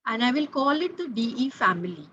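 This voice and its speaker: A woman's voice: a little high, big pitch swings, fairly quick, a bit bright, highs cut off, slight background noise. A woman, teaching French grammar patiently, repeating key points and spelling out letters. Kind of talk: explaining grammar to students.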